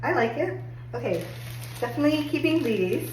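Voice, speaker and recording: Hoarse woman chatting in an echoey room. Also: sits high, lively intonation, moderate pace. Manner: chatty, upbeat, many "like"s. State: hoarse, getting over a cold. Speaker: fashion haul vlogger. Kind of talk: casual try-on review. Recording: echoey room, distant mic, faint hum.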